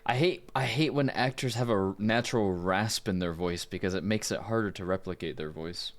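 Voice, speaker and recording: A man with a fairly low voice hosts a livestream about voice acting. He chats casually with viewers in an informal, conversational way. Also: normal speed. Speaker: livestream host doing voice acting.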